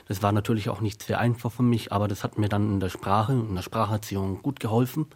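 A deaf actor in his thirties whose first language is sign language, answering a radio interview slowly and carefully. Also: fairly low pitch, fairly even intonation, breathy edge. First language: German Sign Language.

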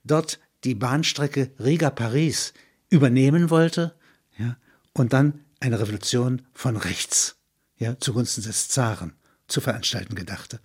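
A man's voice: mid-range voice, measured pace, breathy edge. A man reading literary narration in an explanatory manner, breaking into spoken asides. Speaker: narrator and reader.

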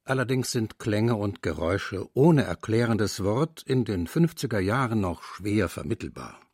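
A man reading the narration of a radio documentary feature in a measured, clear way. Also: lowish voice, lively intonation, a little mellow, no echo, studio quality.

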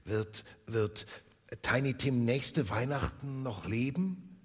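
A man's voice: mid-range voice, slow pace, breathy edge, in a large hall. A man voicing an old miserly man, hesitant and pleading. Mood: anxious.

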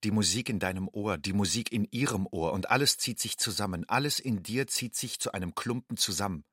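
A man playing a young undocumented student, an anxious outsider, in a measured, introspective radio drama monologue. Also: lowish voice, a bit bright, husky, no room sound, studio quality. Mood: tender, tense. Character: young illegal immigrant student, anxious, inwardly longing outsider.

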